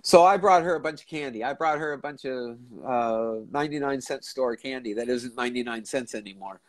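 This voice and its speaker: Older male host on a video call, stuffy-nosed, rambling and halting. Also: mid-range voice, no echo. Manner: rambling, halting, many restarts. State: stuffy nose from a cold. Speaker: show host. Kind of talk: casual video call chat. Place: indoor room at home.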